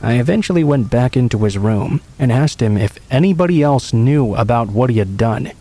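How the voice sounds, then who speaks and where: A man calmly narrating true horror stories in an even, scripted read. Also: mid-range voice, moderate pace.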